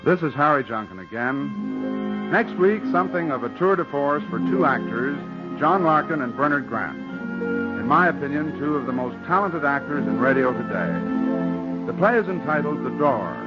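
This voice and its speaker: A man's voice: mid-range voice, expressive, normal speed. A man hosting a 1940s radio show, presenting from a script in a period broadcast style. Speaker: radio show director and host. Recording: dull old radio broadcast, loud music. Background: loud music.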